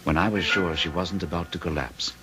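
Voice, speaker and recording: An actor playing a commanding gentleman in a gothic radio drama, with formal, stern, theatrical delivery. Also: sits low, steady tone, a little fast, noisy background, natural voice. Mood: grave narration. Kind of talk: old-time radio drama acting.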